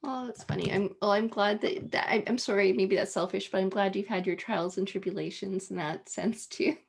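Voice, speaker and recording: Canadian lady host, gravelly voice, friendly, laughs a lot, lots of restarts. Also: mid-range voice, steady tone, fairly quick. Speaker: interview host. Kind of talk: casual conversation.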